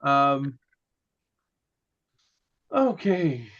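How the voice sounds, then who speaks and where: A man teaching a class, a native Hebrew speaker who lectures in a relaxed way, joking and often checking in with "okay" and "right". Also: mid-range voice, drawn-out words, dull audio.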